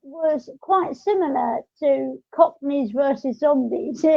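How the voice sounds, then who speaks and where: A young woman chatting casually as a podcast host, chatty and quick to agree. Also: high-pitched, creaky. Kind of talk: casual chat. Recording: dull, lo-fi audio.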